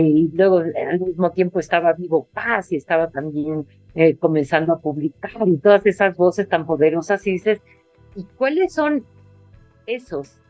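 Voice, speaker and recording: A woman writer speaks with a fairly low voice, pausing often and circling back over her own words. She is recorded dry over a video call. Soft guitar music plays faintly underneath. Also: no echo, poor audio. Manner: hesitant, many fillers and restarts. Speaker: writer being interviewed. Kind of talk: casual conversation.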